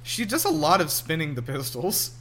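A man's voice: fairly high pitch, wildly swooping pitch, normal speed, bright, forceful tone, a little hiss or hum. A man in his twenties hosting a casual film commentary chat, asking lots of questions as he goes. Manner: inquisitive, asks lots of questions. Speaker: podcast host.

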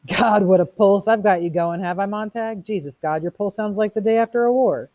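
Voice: medium pitch, a little fast, a little mellow, no echo, voice pushed up, lightly acted. A reader voicing a mocking, erudite male fire captain who declaims quotations theatrically in a storybook reading. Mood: gloating. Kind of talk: audiobook character reading.

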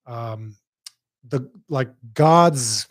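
A man's voice: medium pitch, drawn-out words, no echo. A man from Lebanon whose first language is Arabic, explaining things in casual podcast conversation, repeating himself and swearing freely. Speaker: podcast host.

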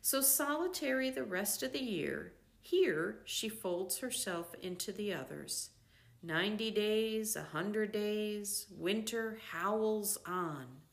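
Older lady reading a storybook out loud, really slow, measured phrases w pauses, medium pitch. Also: animated, creaky. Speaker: reader of a book. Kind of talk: scripted storybook narration, read aloud.

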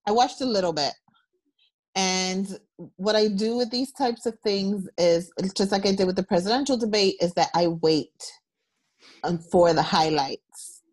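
A woman co-hosting a podcast in casual chat, relaxed, with short replies and easy laughter. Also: mid-range voice, a little slow, poor audio. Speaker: podcast co-host.

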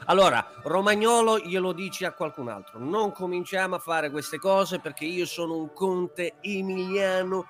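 A man puts on a haughty count from Emilia for a costume radio comedy. The voice is forceful and bright, pitched a bit high, and it is pompous and snippy. Faint music sits behind it. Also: normal speed, few pauses. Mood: touchy, pompous.

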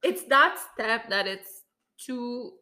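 A woman's voice: a little high, slow. A woman co-hosting a podcast, chatting casually, laughing often and acting out what people said to her. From Lebanese family. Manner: animated, laughs often, acts out quoted people. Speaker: podcast co-host. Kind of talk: casual chat.

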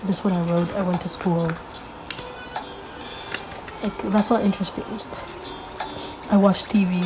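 A young woman hosting a casual solo podcast, a little congested from being sick, rambling with lots of fillers as she thinks out loud. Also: on the low side, level tone, measured pace, music in the background, low-quality recording. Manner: rambling, filler-heavy, thinking aloud while flipping pages. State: congested from a recent illness. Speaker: podcast host.

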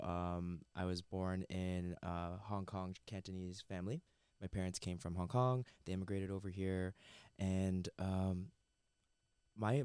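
Man, from Canada, podcast guest, relaxed chatty manner, lowish pitch, studio headset mic. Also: smooth, no room sound. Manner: relaxed, chatty storyteller with many fillers. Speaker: guest chef and entrepreneur. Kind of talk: casual podcast interview. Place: recording studio. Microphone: headset mic and headphones.

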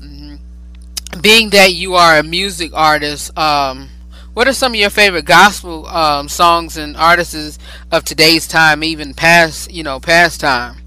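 Man, talk-show host, rambling, lots of fillers, fairly high voice, very bright tone. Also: unhurried, low-quality recording. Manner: rambling, with many fillers and affirmations. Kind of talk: interview conversation.

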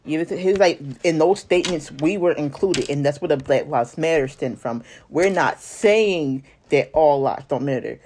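American podcast speaker, medium pitch, casual, earnest, halting, repeats phrases for emphasis. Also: darkish tone, slight background noise. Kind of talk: casual conversation.

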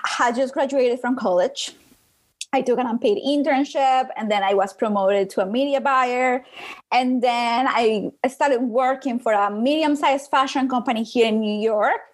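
A young woman with a Colombian accent and Spanish as her first language, telling her own story as a guest in a conversational interview. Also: a little high. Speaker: podcast guest, digital marketing business owner.

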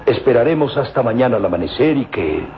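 An actor playing a grave, pensive older father and tomb explorer, speaking deliberately in theatrical old radio drama style. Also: mid-range voice, sing-song. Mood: solemn. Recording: dull, noisy vintage radio recording.

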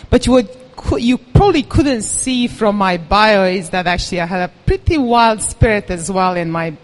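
A woman's voice: on the low side, poor audio. Nervous woman telling her story. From Serbia. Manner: nervous, candid, self-deprecating storytelling. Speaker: event speaker. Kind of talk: personal story talk to an audience.

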